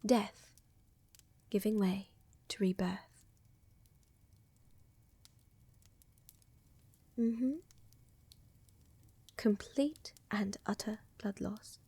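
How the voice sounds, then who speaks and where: Woman playing a slow, breathy, seductive vampire countess. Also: mid-range voice, no room sound, exaggerated voice. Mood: calm, ominous. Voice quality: soft, breathy, often dropping to a whisper. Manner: slow, teasing, assured, formal wording. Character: seductive, tender vampire countess soothing her lover. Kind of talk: intimate roleplay ASMR, soft dominant. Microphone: close microphone, dry.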